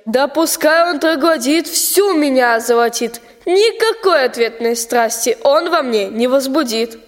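A female performer playing a defiant, haughty young princess in a rhymed radio play, with drawn-out disdain. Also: sits high, animated. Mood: defiant, disdainful. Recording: long hall-like reverb.

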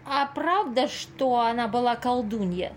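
A woman's voice: on the high side, unhurried, a little hiss or hum, close to an everyday voice. An actress in an amateur audio play as an exasperated but kind-hearted single woman, earnest and fretful. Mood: hesitant, solicitous.

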